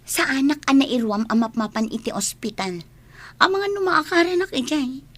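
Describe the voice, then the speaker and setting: Fussy disapproving mother, high voice, swooping intonation, radio drama. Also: animated, normal speed.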